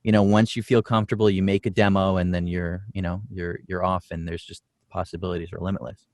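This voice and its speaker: A man's voice: sits low, level tone, fast talker, no room sound, clean studio sound. A man, a voice actor, chatting casually on a panel in a rambling, laid-back way.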